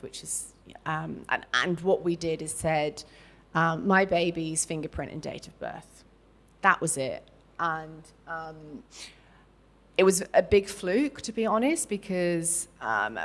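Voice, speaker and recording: Low-voiced chatty Australian woman. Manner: chatty and candid, loose and rambling with fillers and self-interruptions. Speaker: business founder, panel guest. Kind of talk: candid panel talk at a live event.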